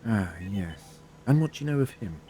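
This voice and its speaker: An actor playing a wry, calm, authoritative adventurer in an audio drama, speaking in a measured, gently probing way. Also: male voice, lowish voice, soft background music.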